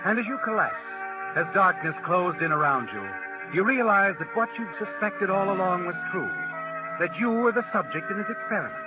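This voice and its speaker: A man's voice: sits high, lively intonation, a little fast. A male actor playing a sinister, knowing storyteller in a 1940s radio thriller, dramatic and insinuating. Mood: knowing, ominous. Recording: tinny vintage radio broadcast. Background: loud dramatic music.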